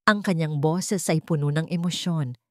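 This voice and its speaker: A woman narrator reading a scripted romantic drama story in measured, short phrases. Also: sits low, darkish tone, no room sound, studio quality.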